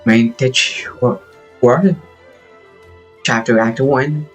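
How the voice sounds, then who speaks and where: A man's voice: medium pitch, slow pace, breathy edge, faint music behind. A man livestreaming a video game, casually muttering short comments to himself as he plays.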